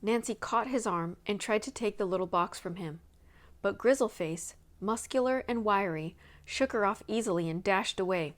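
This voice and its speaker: A woman's voice: medium pitch, normal speed. A woman narrating a children's mystery novel as a scripted read-aloud. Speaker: audiobook reader.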